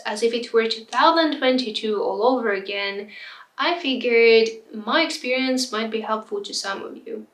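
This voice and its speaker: Higher-voiced woman vlogger in a roomy echo. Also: a bit bright. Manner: frank, reflective, sometimes hesitant. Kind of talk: personal story vlog. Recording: roomy echo, distant from mic.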